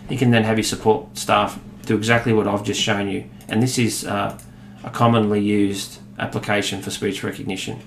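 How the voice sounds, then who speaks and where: A man in his thirties with an Australian accent, explaining a software demo in a relaxed way, with many fillers. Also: lowish voice, moderate pace, gravelly, a little hiss or hum. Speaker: product demonstrator. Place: office room, indoors. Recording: echoey room with faint ambience.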